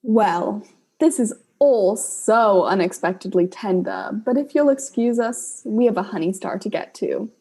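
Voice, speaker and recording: A woman playing a genteel, unhurried bride-to-be in a comedic audio drama. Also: medium pitch, mellow, slightly rough. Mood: fond, polite.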